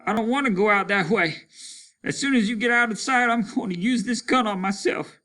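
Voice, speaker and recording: A man's voice: high voice, a little fast, sharp tone, voice pushed up. A man reading a story aloud, voicing a hardened, worn-out, fatalistic soldier who talks bluntly and bitterly. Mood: fatalistic, desperate. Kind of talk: dramatic storybook character reading.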